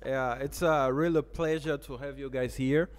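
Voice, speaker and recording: A man's voice: mid-range voice, steady tone, unhurried, in a large hall, faint music behind. A young man hosting a casual podcast in a café, laughing often as he talks into a studio mic. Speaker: podcast host. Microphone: studio mic on boom arm.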